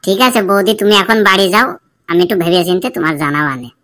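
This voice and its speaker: A woman's voice: fairly low pitch, animated, fast talker, a bit bright. Woman as placating young village man, cartoon style. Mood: worried. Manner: questioning, placating. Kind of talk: comedy cartoon dialogue.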